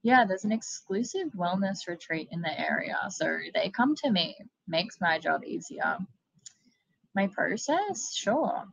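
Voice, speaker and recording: Lady reading as a breezy bloodthirsty kelpie, lowish and a bit gravelly. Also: no echo, low-quality recording. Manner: breezy and friendly, turns menacing. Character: chatty bloodthirsty water-horse monster.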